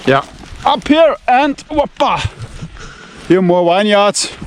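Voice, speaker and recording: Blunt high-voiced Austrian man. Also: lively intonation, slow, a little hiss or hum. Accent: Austrian German. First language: Austrian German. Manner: blunt, profane, rambling in broken English. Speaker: knife-testing channel host. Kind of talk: casual walking vlog. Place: outdoors among vineyards and fields.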